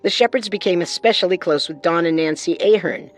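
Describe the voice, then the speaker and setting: Low-voiced woman narrating true crime. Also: a little fast, soft background music. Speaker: co-host, narrator.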